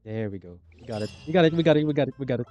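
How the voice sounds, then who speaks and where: A man's voice: mid-range voice, animated, rapid-fire, darkish tone, lo-fi sound. A man streaming a game, commenting casually and muttering to himself in short, repeated phrases. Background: game music.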